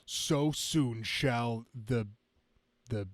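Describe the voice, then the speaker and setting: Man as grandiose, slow-spoken supervillain. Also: mid-range voice, dry recording. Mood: gloating, menacing. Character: grandiose hate-preaching male supervillain addressing his followers. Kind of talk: dramatic comic-book reading.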